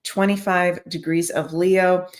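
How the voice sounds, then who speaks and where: A woman's voice: fairly low pitch, level tone, takes it slowly. A woman hosting a daily astrology show, chatting casually to her viewers as "you guys", her voice a little scratchy today. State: slightly scratchy voice.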